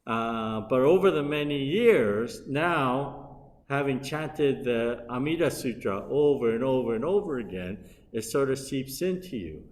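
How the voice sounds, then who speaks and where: Middle-aged guy giving a dharma talk, lots of pauses and restarts, long hall reverb, temple room. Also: medium pitch, animated. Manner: unhurried, hesitant, with frequent pauses and restarts. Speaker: Buddhist minister. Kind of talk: dharma lecture.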